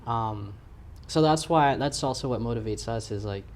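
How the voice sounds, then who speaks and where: Earnest young man guest, mid-pitched. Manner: earnest, halting with fillers and restarts. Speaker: community organization guest. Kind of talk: casual interview chat.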